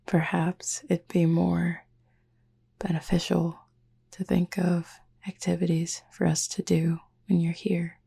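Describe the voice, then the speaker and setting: A woman playing a gentle, reserved cupid roommate in a sleep-aid roleplay, speaking softly and formally in a breathy near-whisper with long pauses. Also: fairly low pitch, level tone, heavily acted. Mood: gentle, fond. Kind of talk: slow, soft-spoken sleep-aid audio roleplay.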